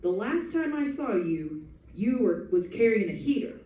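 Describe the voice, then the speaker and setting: A woman's voice: medium pitch, very animated, a little slow, mellow. A woman playing a wry, suspicious old male police sergeant in an old-time radio detective drama, sardonic and slangy. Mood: sardonic, probing. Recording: echoey, distant stage recording.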